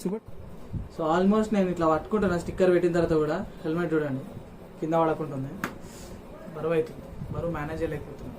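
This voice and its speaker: A man with a distinctly high voice and a Telangana accent talks in a casual vlogging style. He speaks informally, slipping English words into his sentences. A faint layer of noise sits behind his voice. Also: fairly even intonation, quick pace, pauses often. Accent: Telangana Telugu. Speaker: motovlogger. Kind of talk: casual unboxing vlog chat.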